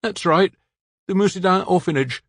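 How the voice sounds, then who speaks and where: A man reading the part of a weary, apologetic man in his forties who speaks quietly and plainly. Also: high voice, normal speed, no echo, clean studio sound, voice pushed up. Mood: resigned.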